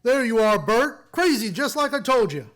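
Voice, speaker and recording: A man playing a gruff, suspicious, slangy taxi driver in a radio play. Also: very high voice, sing-song, moderate pace, a bit bright, exaggerated voice. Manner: slangy, curt, confrontational.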